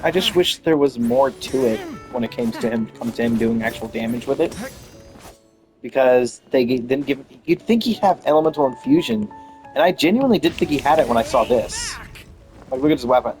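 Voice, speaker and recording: A man's voice: mid-range voice, animated, brisk, darkish tone. A man giving casual, rambling gameplay commentary in an offhand way. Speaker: gamer, streamer. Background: game music.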